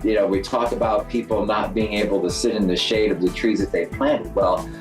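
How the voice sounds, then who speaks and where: An older man, nearly seventy, speaks reflectively in a very deep voice. He sounds conversational, a little far from the mic in an echoey room, with music behind. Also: fairly even intonation, a little fast. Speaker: retired social worker, award honoree. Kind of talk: reflective personal interview. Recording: roomy echo, distant mic. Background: background music.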